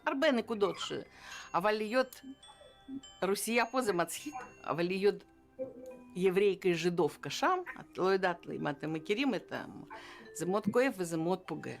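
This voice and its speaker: A woman's voice: mid-range voice, lively intonation, rapid-fire, faint music behind. A woman speaking earnestly and personally in a documentary interview.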